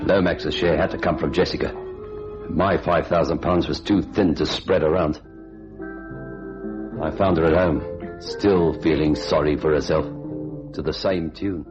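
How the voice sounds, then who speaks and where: A cynical hotel clerk with a guilty secret, pitched low. He talks hardboiled and dismissive, in the manner of a vintage radio thriller. The recording is dull, with some music underneath. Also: steady tone, unhurried, pauses often. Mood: brooding. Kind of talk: old-time radio thriller acting and narration.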